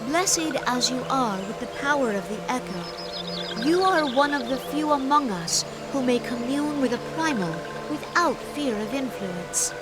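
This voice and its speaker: A gentle, dignified stateswoman of a fantasy forest realm in a video game. Her voice sits a little high, and she speaks with measured, courtly formality and earnest care. Loud music plays behind her. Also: expressive. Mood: earnest, entreating. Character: gentle, dignified female leader of a forest nation. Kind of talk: scripted video game voice acting.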